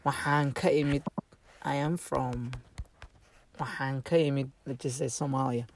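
A man teaching a casual online language lesson, halting with many fillers and restarts and repeating each phrase slowly for learners. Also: medium pitch, steady tone, a little hiss or hum.

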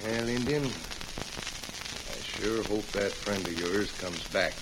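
An actor playing a brash, menacing outlaw gang leader in an old-time American radio Western, with gruff cowboy talk. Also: male voice, fairly low pitch, takes it slowly. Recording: noisy, crackling old radio recording.

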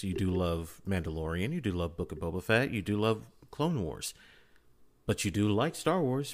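A man chatting casually as a podcast guest, with an emphatic, repetitive way of phrasing things. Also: lowish voice. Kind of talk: casual chat.

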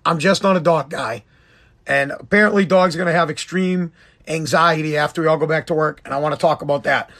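A middle-aged man with a hoarse, worn-out voice, rambling bluntly as he hosts his podcast. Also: fairly high pitch, fairly bright tone. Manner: rambling, blunt, self-deprecating. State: hoarse, lost voice. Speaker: podcast host. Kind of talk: casual solo podcast talk.

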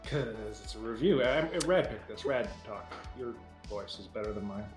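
A man's voice: fairly low pitch, lively intonation, normal speed, roomy sound, over music. A man co-hosting a podcast, bantering dryly in casual chat. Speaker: podcast co-host.

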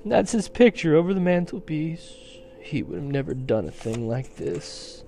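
A man acts out a weepy storybook fawn, high-voiced and swooping with misery. He wails and confesses, full of gloom and self-reproach. There is clear background noise. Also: big pitch swings, moderate pace, warm tone. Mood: ashamed. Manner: sobbing, wailing, confessional. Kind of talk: dramatic storybook character reading.

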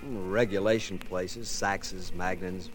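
An actor playing a friendly, casual taxi driver with a folksy, chummy manner in 1940s radio drama style. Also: male voice, low-pitched, steady tone, slow pace, soft background music. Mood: casual, helpful.

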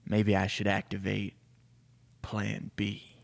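A man voicing a grizzled, stressed vampire police chief in a slow, grave, hushed, deep and gravelly voice. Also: monotone. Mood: grave, worried. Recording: reverberant room.

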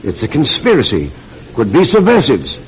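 Pompous British press lord, indignant, animated pitch, blustering, vintage radio sound. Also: male voice, normal speed. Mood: insistent, alarmed. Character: blustering, imperious aristocratic newspaper lord. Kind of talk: old-time radio drama acting. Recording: dull old radio recording.

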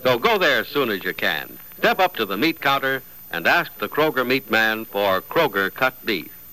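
A man's voice: medium pitch, very animated, normal speed, sharp tone, poor audio. A man announcing in a polished, emphatic 1940s radio style, reading scripted narration and sponsor copy.

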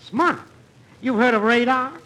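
An actor playing a breezy, smooth-talking schemer in an old-time radio comedy, with a sly, confiding sales manner. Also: male voice, extremely high pitch, unhurried, sharp tone, full character voice. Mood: boastful, persuasive. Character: Dave, breezy smooth-talking schemer with crackpot money plans. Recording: faintly noisy old radio recording.

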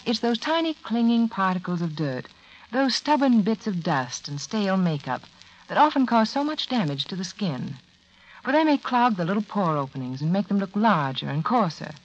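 Low-voiced, lilting 1940s radio spokeswoman. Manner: polished, instructive. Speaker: beauty advertiser spokeswoman. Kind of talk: scripted 1940s radio commercial. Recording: dull lo-fi old radio recording.

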